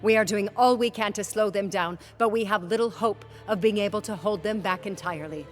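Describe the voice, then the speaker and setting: A woman's voice: mid-range voice, a little fast, faint music behind, close to an everyday voice. A woman playing a dutiful, grave military officer in a fantasy game, speaking formally as in a briefing. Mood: grave, urgent. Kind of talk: dramatic fantasy game cutscene acting.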